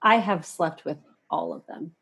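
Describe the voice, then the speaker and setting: Candid, lower-pitched young woman guest. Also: wildly swooping pitch, a little slow, fairly bright tone, husky. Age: 30s. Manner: candid, giggly, frequent "like". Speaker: podcast guest, musician and hairstylist. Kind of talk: casual chat.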